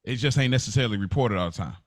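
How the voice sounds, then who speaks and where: A man's voice: on the low side, lively intonation, very fast, no echo, clean studio sound. An American man co-hosting a casual podcast, insistent and repeating phrases to make his point. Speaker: podcast co-host. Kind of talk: casual back-and-forth podcast chat.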